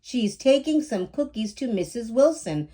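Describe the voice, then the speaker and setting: A woman's voice: a little high, expressive, unhurried, dark tone, slightly rough. A woman voicing a kindly, busy mother in a children's storybook, with emphatic calling. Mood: explaining.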